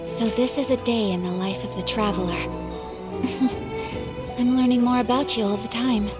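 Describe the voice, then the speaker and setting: A woman's voice: fairly high pitch, fairly even intonation, moderate pace, loud music behind the voice, lightly acted. A woman voicing a poised, courteous warrior in a fantasy video game. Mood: amused, gracious. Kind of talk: video game character dialogue.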